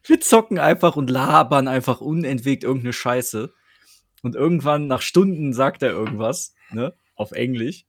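A man's voice: medium pitch, expressive, normal speed, no echo, clean studio sound. A man chatting casually about video games as a podcast co-host, colloquial and often seeking agreement with tag questions.

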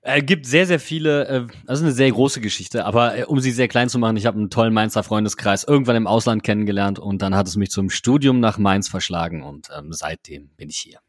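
A man's voice: fairly low pitch, brisk, few pauses, no room sound, clean studio sound. A man in his thirties from Germany, a podcast guest who answers with a hesitant manner and many fillers. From Germany (Wuppertal).

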